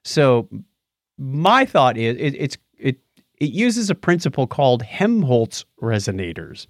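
A man hosts a casual science podcast from a dry, close-sounding studio. His pitch swings widely as he tells stories and summarizes news. He has a jokey, animated delivery. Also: a little slow, dry recording. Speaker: co-host of a science and tech show. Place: home or podcast studio with acoustic foam.

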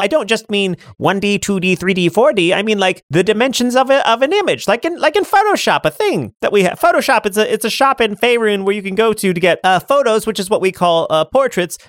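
A man's voice: sits high, fairly bright tone, dry recording, studio quality, pitched above the performer's own voice, heavily acted. A man voicing a zany female witch who cackles and rambles with silly wordplay.

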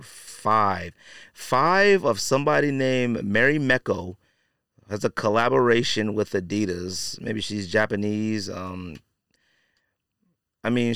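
Male podcast host, clear smooth mid-range voice, dry close sound, slangy and opinionated off-the-cuff commentary. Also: on the low side, fairly bright tone, no room sound. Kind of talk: casual solo podcast commentary.

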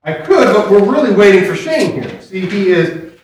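A man's voice: sits high, sing-song, moderate pace. A man doing live comedy banter in old-time radio show style, teasing and full of puns. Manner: joking, pun-heavy, teasing. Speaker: podcast sketch performer. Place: live show in a comic book shop. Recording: roomy live venue, faint noise.

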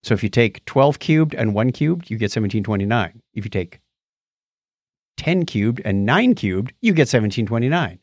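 Animated man chatting on a podcast. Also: mid-range voice, normal speed, dry recording, clean studio sound. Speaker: podcast co-host. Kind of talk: casual podcast chat.

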